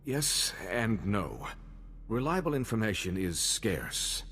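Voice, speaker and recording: An actor playing an earnest, worried ambassador in a video game, speaking in a formal, diplomatic way. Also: male voice, lowish voice, sing-song, slow pace, a bit bright, in a large hall, a little hiss or hum, exaggerated voice. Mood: grave, urgent. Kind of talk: video game cutscene acting.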